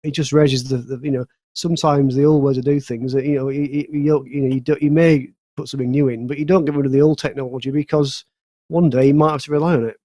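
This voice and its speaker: A man's voice: medium pitch, fairly even intonation, quick pace, mellow, no echo. Man, British accent, mid-range voice, casual podcast panelist, explanatory and rambling, dry recording. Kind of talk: casual discussion of news.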